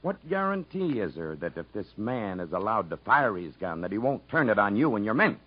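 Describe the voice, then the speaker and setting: A man acting a stern, officious, dismissive prison commissioner in a 1950s radio drama, with a husky voice. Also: on the low side, big pitch swings, a little fast. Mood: dismissive, skeptical. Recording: muffled 1950s radio broadcast.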